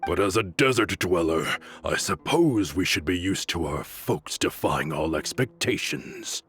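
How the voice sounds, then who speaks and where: A proud desert warrior chief who speaks slowly and gravely, his pitch swinging widely as he talks. He sounds worn down yet unbroken in his devotion to an ancient king. Game music plays faintly underneath. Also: animated, a bit bright, exaggerated voice. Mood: solemn. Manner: solemn, measured, defiant. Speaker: game character. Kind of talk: video game voice acting.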